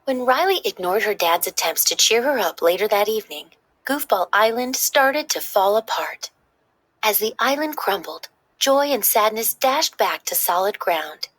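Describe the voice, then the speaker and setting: A woman reading a children's story aloud in expressive, scripted narration. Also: mid-range voice, moderate pace, no room sound.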